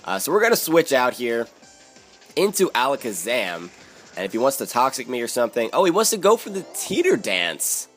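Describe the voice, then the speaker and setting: Casting: hoarse Philly-young man, chatty gaming commentary, faint music bed. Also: medium pitch, big pitch swings, moderate pace, fairly bright tone. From Philadelphia, USA. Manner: chatty, strategizing aloud, frequent fillers. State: hoarse, raspy from yelling. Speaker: gaming YouTuber. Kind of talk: live game commentary.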